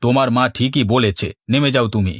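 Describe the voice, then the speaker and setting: A man's voice: mid-range voice, moderate pace, fairly bright tone, no room sound, studio quality, close to an everyday voice. A man playing a kindly, sensible middle-aged village father in a children's cartoon, earnest and reassuring. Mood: concerned.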